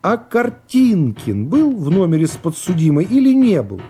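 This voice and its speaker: A pompous prosecutor played by a male narrator speaks in a radio courtroom reading. His high voice stretches out formal questions with false naivety and sly insinuation. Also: huge pitch swings, normal speed, mellow, faint music behind, full character voice. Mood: insinuating, smug. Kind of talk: dramatised literary reading.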